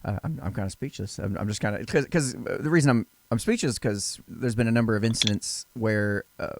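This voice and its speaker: A man with a deep voice hosting a casual podcast interview, often stammering, repeating words and restarting his sentences. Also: brisk, noisy background. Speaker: podcast host.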